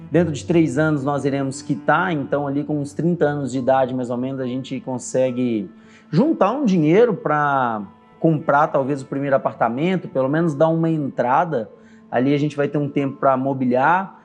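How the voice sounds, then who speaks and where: A man in his thirties tells his own story in an earnest, reflective tone, his voice sitting a little high for a man. Soft music plays faintly beneath his narration. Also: moderate pace. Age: 30s. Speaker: barbershop owner and course creator. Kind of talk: scripted personal story narration.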